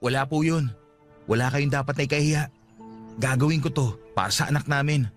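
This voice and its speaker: A man's voice: a little high, steady tone, a little fast, faint music behind, close to an everyday voice. An actor playing a patient, regretful young father with a Filipino accent, earnest and respectful, in a radio drama. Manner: soft-hearted, earnest, respectful.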